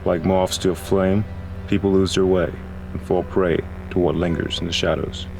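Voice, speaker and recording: Music and rain play under a man's deep voice. He narrates a scripted noir horror tale gravely and dramatically, as a storyteller in an audio drama. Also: little pitch movement, normal speed, low-quality recording. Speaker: narrator and voice actor.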